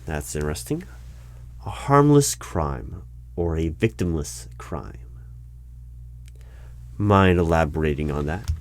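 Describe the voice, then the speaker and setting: A man doing an ASMR interview roleplay, asking questions in a deadpan, clipped manner. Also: rumbling low, slow pace, pauses often, slight background noise. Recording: keyboard typing heard throughout. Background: keyboard typing and clicks.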